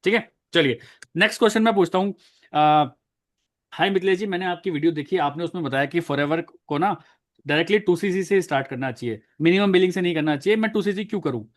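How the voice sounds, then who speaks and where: A man's voice: a little high, fast. A young man in his twenties who trains network marketers, playing a doubtful customer and then coaching viewers on how to answer them. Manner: animated, coaching the viewer directly. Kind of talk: role-play sales training.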